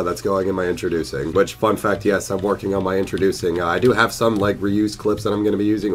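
A young man in his twenties with a congested, slightly nasal cold voice, chatting casually and swearing over his gameplay from a headset at his bedroom desk. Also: fairly low pitch. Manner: chatty, swears a lot, bursts out at the game. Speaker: gaming YouTuber. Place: small bedroom gaming desk. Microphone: gaming headset.

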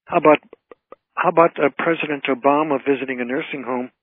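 On a tinny phone line, an older American man chats as a radio guest. His voice is raspy and the sound is narrow, like a call-in interview with an entertainer. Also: a little high, steady tone, quick pace.

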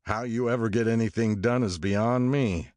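A man's voice: on the low side, moderate pace, dry recording, pitched above the performer's own voice, close to an everyday voice. A man doing a blunt, domineering rich husband. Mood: brusque. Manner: blunt, loud, decisive. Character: brash, domineering wealthy young husband.

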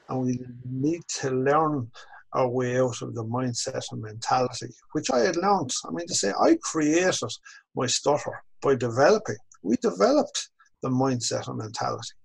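An Irish man in his sixties speaks over a webcam from his home office. His voice is gravelly and somewhat husky, at a middling pitch. He talks in a measured, reflective way and slows down on the words that matter most. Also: lively intonation, low-quality recording. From Ireland. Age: 60s. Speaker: stuttering course instructor. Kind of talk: interview guest telling his story. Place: home office with bookshelves, indoors. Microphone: video call webcam.

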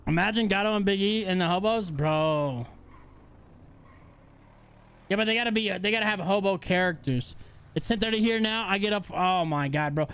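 A high-voiced young man livestreaming, talking to chat in loose, crude slang. His tone is relaxed and off the cuff. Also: moderate pace, long pauses, smooth. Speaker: streamer.

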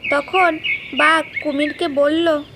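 A woman's voice: high voice, flat delivery, slow pace. A woman narrating a scripted children's story as the storyteller. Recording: clearly noisy, birdsong behind. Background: birdsong and nature sounds.

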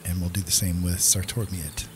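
Low-voiced man doing casual game commentary. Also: flat delivery, normal speed, a little hiss or hum. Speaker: gaming video host.

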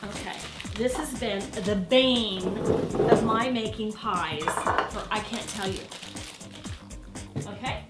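A woman's voice: medium pitch, takes it slowly, long pauses, echoey room, recorded from a distance, loud music behind the voice. A woman hosting a cooking show, showing a guest how to cook in her home kitchen, chatty and playful, laughing often and adding sound effects. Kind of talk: casual cooking demonstration.

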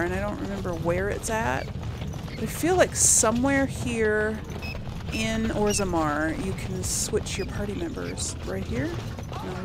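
A woman's voice: mid-range voice, noisy background. Chatty woman narrating gameplay. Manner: chatty, thinks aloud, voices the player character. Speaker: gameplay host. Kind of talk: casual gameplay commentary. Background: game music.

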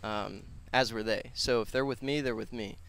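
A man's voice: lowish voice, moderate pace, creaky, a bit bright. A man in his twenties talking casually and earnestly as an interview guest, rambling and slangy, on a headset mic outdoors. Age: 20s. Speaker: podcast guest, personal trainer and gym owner. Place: outdoors on a concrete patio.

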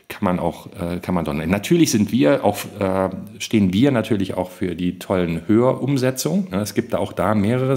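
A man with a lowish voice hosts a podcast. He talks in a casual, conversational way and often checks for agreement with little tag questions. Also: a little mellow. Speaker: podcast host.

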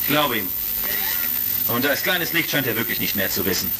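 Gravelly, resolute space commander. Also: male voice, medium pitch, expressive, moderate pace, noisy background. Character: resolute male space commander, shrewd hero. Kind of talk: retro sci-fi audio drama acting.

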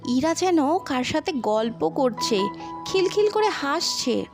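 A woman narrating a scripted ghost story in an expressive, dramatic storytelling manner. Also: high-pitched, slow pace, over music.

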